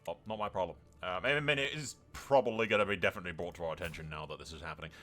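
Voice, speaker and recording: A man hosting a gaming video, giving casual, sweary commentary with some roleplay and animated exclamations. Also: lowish voice.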